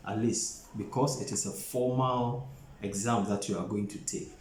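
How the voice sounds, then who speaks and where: Low-voiced male tutor in an echoey room. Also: fairly even intonation. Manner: deliberate, repeats phrases for emphasis. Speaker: exam preparation tutor. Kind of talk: instructional lecture to camera. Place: small plain indoor office. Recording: roomy echo, faint background noise.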